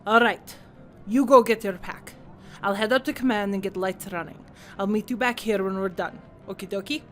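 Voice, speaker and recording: A woman voicing a blunt, excitable alien hog union striker in an audio drama. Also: mid-range voice, normal speed, faint music behind, lightly acted. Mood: determined.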